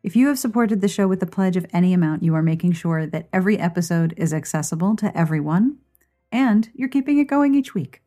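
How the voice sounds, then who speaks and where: A woman's voice: on the low side, lively intonation, a little fast, warm tone, faint music behind. A woman hosting a podcast, reading scripted intros and sponsor messages. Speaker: podcast host. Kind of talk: scripted podcast narration and ad reads.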